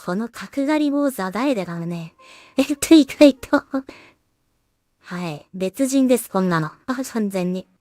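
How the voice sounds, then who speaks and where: A woman's voice: mid-range voice, lively intonation, unhurried, a little mellow, no echo, clean studio sound. A woman casually talking to herself as she works, often mumbling her thoughts aloud as a solo creator on a stream. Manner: mumbling, thinking aloud while working. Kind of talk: casual work-along commentary, talking to herself.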